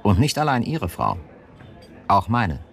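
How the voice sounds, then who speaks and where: Low-voiced, coldly coercive police chief. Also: male voice, huge pitch swings, vocal fry, a bit bright, faint music behind, heavily acted. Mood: cold, insistent. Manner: insistent, domineering, measured and cutting. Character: cold, coercive male police chief, manipulative. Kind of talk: German radio drama acting.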